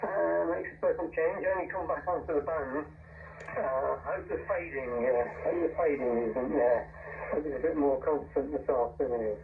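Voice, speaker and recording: A man's voice: fairly high pitch, moderate pace, vocal fry. Radio transmission, man, casual operator chat, narrow tinny band, slightly distant, faint static-like noise.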